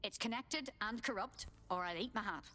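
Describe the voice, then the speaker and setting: A woman's voice: medium pitch, normal speed, bright, forceful tone. A woman playing a cheeky, sarcastic hacktivist with a British accent, sardonic and blunt, in a video game. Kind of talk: video game cutscene acting.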